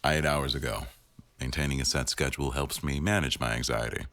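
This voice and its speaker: A man acts out an attentive, dry-witted executive boss for an intimate audio roleplay. His voice sits extremely low with a gravelly fry. He speaks in a measured, gently teasing way, recorded close and dry with no room sound. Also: steady tone, dry recording. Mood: candid, dry. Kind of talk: intimate boyfriend ASMR roleplay.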